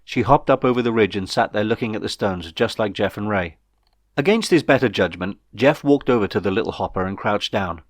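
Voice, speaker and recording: A man's voice: fairly low pitch, a little fast, no room sound. A man reading his own comic novel aloud as scripted audiobook narration.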